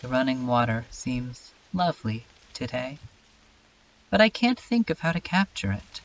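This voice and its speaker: A man playing a haunted, weary survivor who narrates a lonely horror story in a brooding, introspective, halting way for a fan dub. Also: mid-range voice, sing-song, recorded from a distance, rough recording. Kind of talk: dramatic first-person narration in a fan dub.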